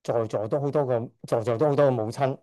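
Middle aged hong kong guy sharing his testimony at church, mellow voice, halting, keeps correcting himself. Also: mid-range voice. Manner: hesitant, stops to correct himself, chuckles shyly. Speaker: church member giving testimony. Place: church service.

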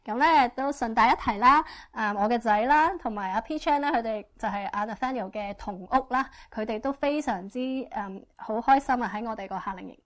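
A woman interprets a church testimony sentence by sentence, speaking quickly and fluently with frequent fillers. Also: on the high side. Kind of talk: consecutive interpretation.

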